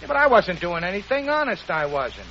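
Loud, slangy glutton of a boss, bright, lively pitch. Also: male voice, fairly quick, exaggerated voice. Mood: pleading. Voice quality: rough slangy talk. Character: brash gluttonous rich male boss. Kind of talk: 1940s radio acting. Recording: dull old radio broadcast with hiss.

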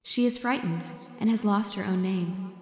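A calm, knowing lamb spirit archer, voiced by a man reading a storybook. She speaks gently and serenely in a raised voice. A long hall-like reverb surrounds her. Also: high voice, normal speed, soft background music.

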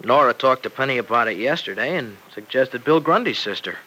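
An actor playing an earnest, excitable young husband and devoted son-in-law in an old radio serial. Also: male voice, medium pitch, a little fast, slight background noise, poor audio. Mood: chatty, earnest. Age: young adult. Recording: vintage radio recording.

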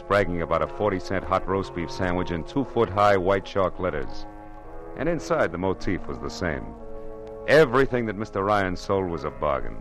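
A man's voice: sits low, lightly acted. An American man acting a wry, punchy hard-boiled private detective in the style of 1940s radio drama. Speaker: lead actor and first-person narrator. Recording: vintage radio broadcast.

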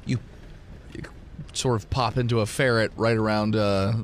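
A man's voice: fairly low pitch, steady tone, measured pace. A man hosting as game master, describing scenes in a casual, conversational way as he improvises the narration. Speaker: game master and podcast host. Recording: clear background noise.

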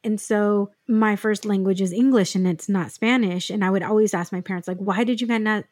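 A woman's voice: mid-range voice, steady tone, fairly quick, a little mellow, no echo. A woman hosting a podcast talks in an easy, chatty way, affirming her guest and sprinkling in fillers. Her voice sits in a middle range, and the recording is dry and close. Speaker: podcast host. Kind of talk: casual podcast conversation.

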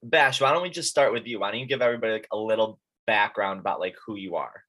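A man from upstate New York hosts a casual podcast chat. He talks loosely and conversationally, dropping "like" every few words, and his voice has a bright, forward edge. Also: lowish voice, fast talker. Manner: chatty, with frequent "like" fillers. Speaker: podcast host.

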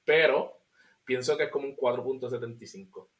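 Animated Puerto Rican podcast host. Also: male voice, medium pitch, a little fast. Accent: Puerto Rican Spanish. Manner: animated, laughs often, mixes in English. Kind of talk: casual chat, film review.

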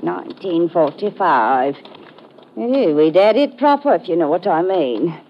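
A woman's voice: on the low side, very animated, measured pace, slight background noise. An actress playing a chatty, motherly older London landlady with a Cockney accent and a folksy, rambling manner in an old radio drama. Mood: musing. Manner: folksy, rambling, calls people dearie. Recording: dull vintage radio recording.

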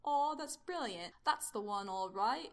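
Excitable female time traveller acted out by a woman, higher voice, affectionate, chatty, musing, audio storybook. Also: unhurried. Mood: pleased, playful.

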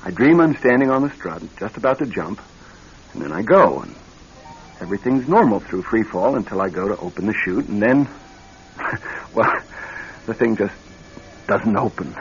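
A dull, band-limited old radio drama recording carries a nervous young executive. He is a lowish-voiced man who talks earnestly and haltingly, with lively swings of pitch. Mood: troubled. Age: young adult. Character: nervous young married executive troubled by nightmares.

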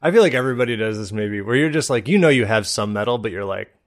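A man's voice: fairly low pitch, animated, fast. A man co-hosting a comedy podcast, chatting casually in a rambling way and acting out imagined quotes.

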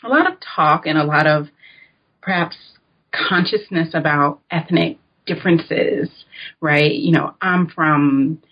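American woman academic chatting in an interview, low pitched, explains things informally, muffled lofi audio. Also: slow pace. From United States (North Carolina). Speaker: guest, author and political science academic. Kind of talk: conversational interview answers.

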